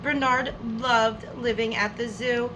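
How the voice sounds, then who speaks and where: A woman's voice: on the high side, noisy background. A woman hosting a storytime, reading a picture book aloud to young children expressively, with friendly asides to her listeners. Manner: expressive, drawn-out words, addressing listeners as friends.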